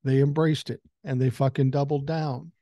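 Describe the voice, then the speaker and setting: A man in his sixties with a cold talks straight into a close foam-covered mic, and the sound is dry with no room echo. His voice is mellow and mid-pitched, and he talks in a blunt, conversational way with casual swearing. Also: level tone, moderate pace. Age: 60s. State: has a common cold. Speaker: podcast host. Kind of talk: casual political commentary monologue. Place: home room with a couch behind him. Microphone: large foam-covered dynamic mic close to the mouth.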